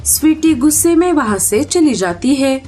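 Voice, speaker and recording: A woman's voice: high voice, expressive, moderate pace, dark tone, faint music behind. A woman narrator reading a scripted children's cartoon story.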